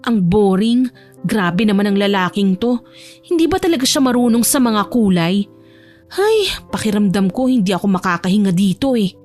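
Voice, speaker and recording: A woman's voice: medium pitch, expressive, normal speed, a little mellow, faint music behind, lightly acted. A woman voicing a proud, firm young bride in a romance audio drama, with an emphatic delivery. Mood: exasperated.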